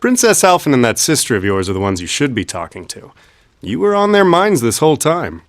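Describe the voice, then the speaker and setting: An actor playing a gruff but good-hearted young rescuer in an anime game dub, blunt and casual. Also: male voice, medium pitch, wildly swooping pitch, fairly quick, no room sound. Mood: offhand, puzzled.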